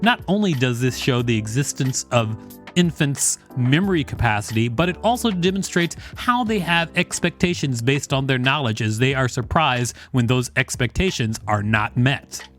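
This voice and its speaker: A man reads an encyclopedia article aloud as a podcast host. His voice sits at a middling male pitch, with faint music underneath. Now and then the narration makes room for a short aside. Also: expressive, moderate pace, a bit bright.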